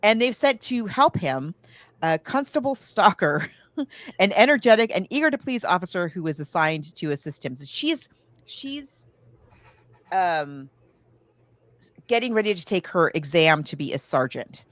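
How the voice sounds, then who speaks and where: A woman's voice: fairly low pitch, sing-song, a little slow, fairly bright tone, dry recording. A woman co-hosting a podcast, chatting casually about film in an emphatic, conversational way. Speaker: podcast co-host. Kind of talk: casual film discussion, recapping a plot.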